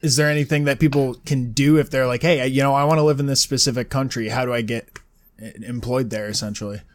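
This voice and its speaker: Brief: male podcast host, mid-pitched, relaxed casual questioner. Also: fast talker. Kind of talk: casual interview.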